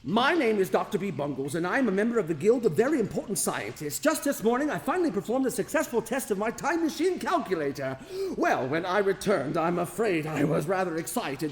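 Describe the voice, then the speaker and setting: High-pitched, excitable eccentric scientist. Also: male voice. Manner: theatrical, wordy, flustered, stammering. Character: excitable eccentric male scientist and time-machine inventor. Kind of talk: comic radio drama acting. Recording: reverberant hall, faint background noise.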